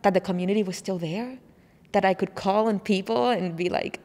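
A woman's voice: mid-range voice, brisk, darkish tone. A young woman with a Puerto Rican accent, an opera singer chatting about her work and introducing her pieces. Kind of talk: casual interview talk and song introductions. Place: large wood-panelled hall with organ. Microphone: standing studio mic. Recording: echoey hall with long reverb.

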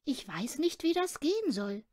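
Storybook mother voiced by a woman: patient yet firm, higher register. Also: very animated, normal speed, a little mellow, dry recording, studio quality. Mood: reassuring. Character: gentle but firm mother of a young boy.

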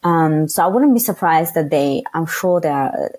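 A young woman from Afghanistan speaking frankly as an interview guest, often pausing to search for words with fillers. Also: low voice, animated, darkish tone, slightly rough. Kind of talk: candid conversational interview.

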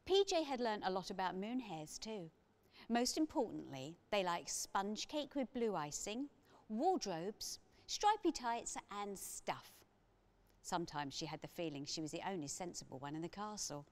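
A middle-aged woman narrating a children's story aloud with expressive delivery, as an author reading. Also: medium pitch, measured pace.

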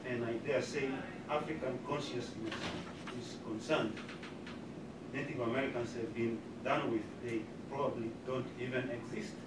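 Man from Uganda, audience question, very slow and deliberate, far from the mic, echoey room, heavy hiss. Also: mid-range voice. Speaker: audience member asking a question. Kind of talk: question from the floor. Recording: roomy sound from far off, loud hiss.

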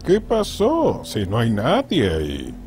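A man's voice: mid-range voice, huge pitch swings, takes it slowly, a little mellow. A man playing a sceptical, matter-of-fact father in an anime fan dub, with a Latin American accent. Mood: dismissive.

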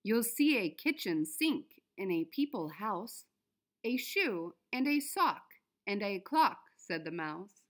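A woman reading a children's storybook aloud in a deliberate, expressive way for young listeners. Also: mid-range voice. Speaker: children's book reader.

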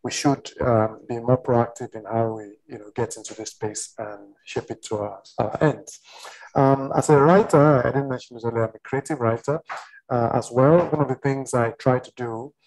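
Man panelist, mid-pitched, earnest, halting delivery, video call. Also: steady tone, darkish tone, low-quality recording. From Nigeria. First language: Yoruba. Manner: earnest, hesitant, repeating words as he thinks. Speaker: panelist, writer and research fellow. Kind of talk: casual panel discussion.

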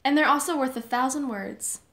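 A woman playing an upbeat, persuasive high school girl in a casual audio drama. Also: fairly quick, a bit bright.

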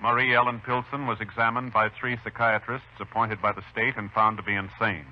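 A man's voice: on the low side, little pitch movement, brisk, noisy background. A man reading formal scripted narration as a 1950s radio announcer. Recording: muffled old radio recording. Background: music.

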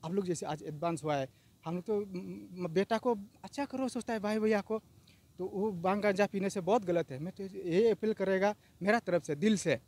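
Earnest middle-aged man giving a street interview outdoors, higher-pitched voice, handheld mic. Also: normal speed, a little mellow. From Arunachal Pradesh. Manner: earnest, repetitive, appealing. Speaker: vox pop interviewee. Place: outdoors by a riverbank.

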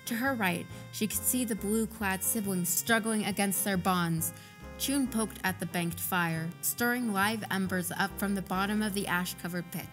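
A woman's voice: medium pitch, normal speed, music in the background. A woman narrator reading scripted third-person fiction for an audio drama.

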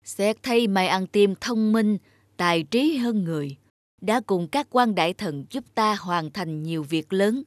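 A woman's voice: medium pitch, animated, measured pace, no room sound, clean studio sound, close to an everyday voice. Woman voicing an imperious old cartoon king. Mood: solemn, approving. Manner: imperious, commanding. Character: proud, stern old king, quick to anger. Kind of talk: cartoon fairy tale character voice.

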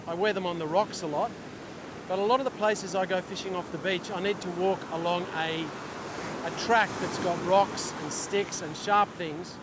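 A middle-aged Australian man talking casually to camera as a fishing instructor, explaining as he goes. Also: sits high. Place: open ocean beach, outdoors. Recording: outdoor wind and surf noise. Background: waves, surf and wind.

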